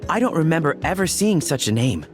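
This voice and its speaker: A bookish young-sounding game assistant with a high male voice. He is formal and exact, with lively intonation and faint music underneath. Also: a little fast. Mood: earnest. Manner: formal, precise. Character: studious, precise male assistant to a scholarly gentleman. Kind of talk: video game voice acting.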